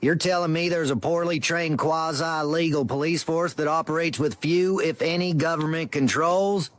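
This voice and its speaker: A man voicing a nosy, paranoid conspiracy theorist in a cartoon, with a deliberate, deadpan, insinuating delivery. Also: a little high, natural voice. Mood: sly interest. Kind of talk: cartoon voice acting.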